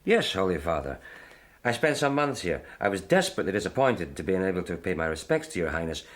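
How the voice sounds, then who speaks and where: A man's voice: low-pitched, big pitch swings, fast. An actor playing a courteous, self-assured warrior king in a radio drama, fluent and unawed.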